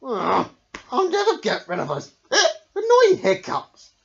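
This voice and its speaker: A young shark from a children's storybook, acted by a woman. The voice is high and swoops about, with gasping hiccups breaking into the words and an easily upset temper. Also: huge pitch swings, slow pace, creaky, highs cut off, full character voice. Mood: grumpy wailing.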